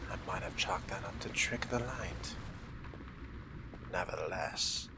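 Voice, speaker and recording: A man voicing a wry, fastidious fledgling vampire in a video game roleplay, murmuring formally to himself in a breathy, dry voice that often sinks to a near whisper. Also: lowish voice. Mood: intrigued, wary. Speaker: role-playing gamer voicing his character. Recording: game music behind the voice.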